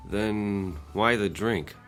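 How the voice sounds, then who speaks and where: A harried man forever short of time in a radio drama. His voice is on the low side, with a nervy, apologetic, pleading delivery. Faint music runs underneath. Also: extremely slow, a bit bright. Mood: wary, polite. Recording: music behind the voice.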